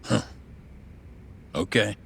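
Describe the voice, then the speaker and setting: A man's voice: drawn-out words, a bit bright, slight background noise. A man voicing a blunt, teasing friend in a video game cutscene.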